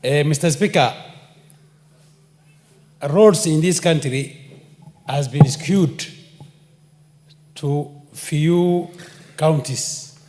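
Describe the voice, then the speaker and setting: A Kenyan man speaks very slowly and deliberately in a parliamentary debate, his voice sitting a little high. The chamber's long reverb lingers behind his measured phrases at the desk microphone. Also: a little mellow. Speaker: member of parliament. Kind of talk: parliamentary speech.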